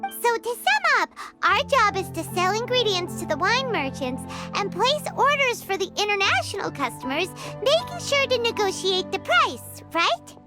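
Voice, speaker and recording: A woman voicing a small, chirpy, eager fairy-like girl companion with a squeaky childlike voice in a video game. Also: big pitch swings, normal speed. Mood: curious. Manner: peppy, refers to self in third person. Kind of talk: video game cutscene acting. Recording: music behind the voice.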